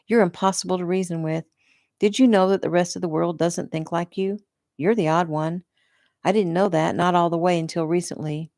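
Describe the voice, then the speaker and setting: A woman's voice: fairly low pitch, steady tone, normal speed, darkish tone, no room sound, clean studio sound, close to an everyday voice. A woman reading the part of a cruel, possessive middle-aged mother with a contemptuous, cutting manner. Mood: scornful.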